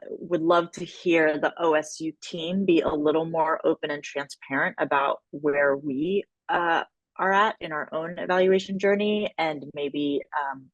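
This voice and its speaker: A woman presenting feedback informally at a workshop, with measured, hesitant phrasing and searching pauses. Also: low-pitched, no echo, poor audio. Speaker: workshop presenter on an evaluation team.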